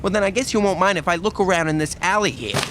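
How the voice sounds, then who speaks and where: A man's voice: high voice, quick pace, very noisy, lightly acted. A man playing a tough, suspicious gangster boss who talks in blunt wiseguy slang in a comic crime audio drama. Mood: suspicious, taunting.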